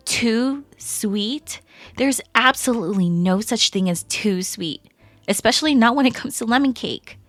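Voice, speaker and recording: A woman's voice: on the high side, unhurried, no echo, faint music behind. Woman acting a playful, teasing best friend, close-up. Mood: playful indignation. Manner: animated, teasing, expressive casual delivery. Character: playful, teasing, chatty female best friend on a bakery date. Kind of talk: roleplay audio acting, casual banter.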